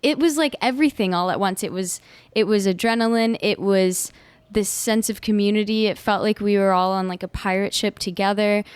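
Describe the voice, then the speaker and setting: A woman in her late twenties from Nashville, a singer-songwriter guest on a podcast, bubbly and upbeat and quick to laugh. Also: medium pitch, clear, resonant tone, no room sound. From Nashville, United States. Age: 20s. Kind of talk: casual interview chat.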